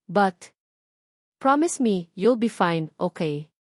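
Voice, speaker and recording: A woman's voice: mid-range voice, expressive, takes it slowly, darkish tone, dry recording, clean studio sound. A woman with a Filipino accent voicing a generous, concerned, protective man in a narrated romance story. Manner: short caring questions, mixes English and Tagalog.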